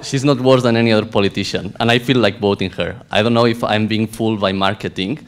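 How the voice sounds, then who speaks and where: A man's voice: lowish voice, fairly even intonation, quick pace, a little hiss or hum. A man from Spain with a Spanish accent, asking a question from the audience of a lecture theatre in a hesitant, lightly self-amused way. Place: large lecture theatre, indoors.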